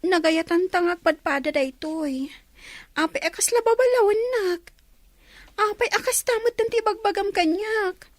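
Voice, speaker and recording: A woman's voice: very high-pitched, measured pace, dry recording. An actress playing a young pregnant girlfriend in a radio drama, emotional, hurt and accusing. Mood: pleading, hurt. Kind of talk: radio drama acting in Ilocano.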